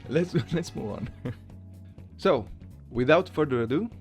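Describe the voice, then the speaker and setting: A man's voice: mid-range voice, animated, measured pace, faint music behind. A man giving casual, self-deprecating commentary to his viewers in a hesitant, giggly way. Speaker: voice challenge video creator.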